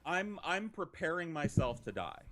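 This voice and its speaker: An American man, a podcast storyteller, recounting an anecdote in a conversational, profane way with dramatic pauses. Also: fairly high pitch, sing-song, bright, forceful tone, a little hiss or hum. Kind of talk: casual anecdote telling.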